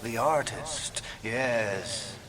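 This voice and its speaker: A man's voice: mid-range voice, drawn-out words, a crowd in the background. An actor playing a flamboyant, unhinged male artist and impresario in a video game, with a theatrical, grandiose delivery. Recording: loud music behind the voice.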